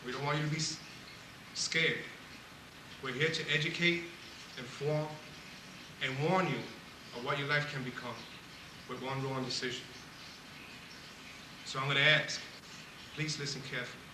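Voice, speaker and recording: Man, mid pitch, earnest and appealing to the viewers, echoey room with noise. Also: fairly even intonation, normal speed, pauses often. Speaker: incarcerated speaker. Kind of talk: speaking to a camera audience.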